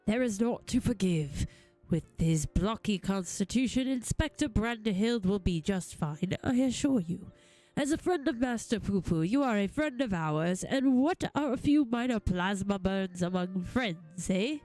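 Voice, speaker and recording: A woman voicing a pompous, confident gentleman in a video game, gallant and reassuring, with old-fashioned formal speech. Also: medium pitch, animated, a little slow, faint music behind, lightly acted, low-quality recording. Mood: magnanimous.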